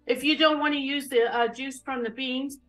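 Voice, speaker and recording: A woman's voice: high-pitched, steady tone, moderate pace, fairly bright tone, faint music behind. A woman in her fifties hosting a live cooking show from her home kitchen, chatting casually and explaining each step as she goes.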